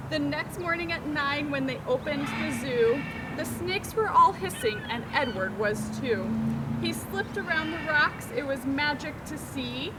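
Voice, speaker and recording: A woman reading a children's picture book aloud expressively, pausing to put questions to her listeners. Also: high-pitched, animated, heavy background noise. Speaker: storytime reader, zoo educator.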